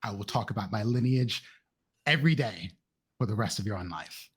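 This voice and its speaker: A man playing a tabletop role-playing game, improvising casually in and out of character with a husky voice. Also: fairly low pitch, expressive, fairly quick.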